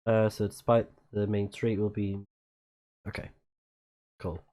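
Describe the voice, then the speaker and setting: A man's voice: on the low side, little pitch movement, measured pace, warm tone. A man giving casual let's play commentary, reading on-screen text aloud and murmuring reactions as he plays. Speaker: streamer playing a video game.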